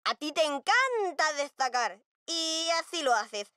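A woman's voice: high voice, very animated, a little slow, bright, forceful tone, no echo, studio quality, full character voice. A woman voicing an eager, playful, confident young child in a children's cartoon, with a Castilian Spanish accent. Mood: wry.

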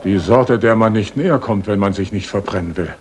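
An actor playing a deferential, gossipy but honest village innkeeper in a radio play, talking politely and a little hesitantly. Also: male voice, on the low side, fairly quick, natural voice. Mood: confiding, wary. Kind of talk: German radio play acting, 1980s. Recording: 1980s radio play with audible noise.

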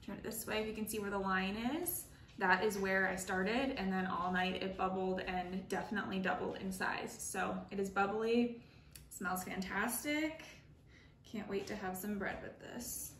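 A woman's voice: medium pitch, fairly even intonation, moderate pace, a bit bright. A young woman hosting a casual home baking tutorial, talking through each step in a friendly, chatty way as she works in her kitchen. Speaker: home baker and channel host. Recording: echoey kitchen with faint noise.

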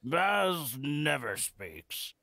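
Scruffy old drunk vet from a game, super gravelly, swoops all over, cheeky. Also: male voice, a little high, sing-song, extremely slow, sharp tone, dry recording, exaggerated voice. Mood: wry. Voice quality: gravelly, raspy. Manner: drawling, theatrical, irreverent. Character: scruffy old male war veteran, boozy, cheeky freeloader. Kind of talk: video game character acting.

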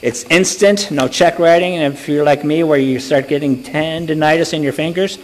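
A man's voice: fairly high pitch, steady tone, moderate pace, slight background noise. Chatty man giving church announcements. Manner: chatty, joking, self-deprecating. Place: church sanctuary. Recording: long church reverb.

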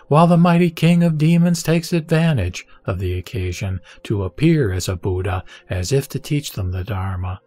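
A man reads a Buddhist scripture aloud in a measured, solemn, scripted recitation. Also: on the low side, a little mellow, dry recording. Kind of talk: scripted reading of a sutra. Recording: dry voice over background music. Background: background music.

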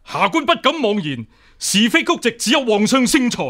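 A man's voice: extremely high pitch, sing-song, moderate pace, bright, forceful tone, heavily acted. A male actor with a Hong Kong Cantonese accent playing an upright, stubborn deputy examiner, earnest, formal and insistent, in a radio drama. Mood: righteous, defiant.

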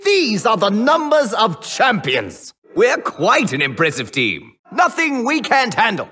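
High, bright, boastful knight. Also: male voice, huge pitch swings, a little slow, husky, full character voice. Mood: triumphant. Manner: heroic, boastful, theatrical battle cries. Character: bold, gallant undead elf jousting knight, cocky and eager. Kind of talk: video game character voice lines.

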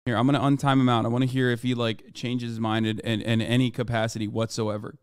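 A man's voice: medium pitch, little pitch movement, fast talker, smooth, dry recording. A man in his thirties giving emphatic, profane, lecturing political commentary on a livestream into a close desk microphone. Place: small room at home, indoors.

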